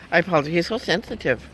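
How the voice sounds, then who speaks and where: Jokey bright-voiced man in his fifties. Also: high-pitched, a little hiss or hum, low-quality recording. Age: 50s. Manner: joking, chuckling, humming silly tunes. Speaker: vlogger. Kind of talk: rambling casual chat. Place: in a car.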